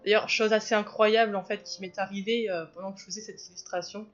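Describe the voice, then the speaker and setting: A woman's voice: mid-range voice, fairly even intonation, fast talker, lo-fi sound, soft background music. Chatty woman narrating her drawing. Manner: chatty, rambling, laughs often. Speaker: illustrator and video host. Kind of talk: casual drawing commentary.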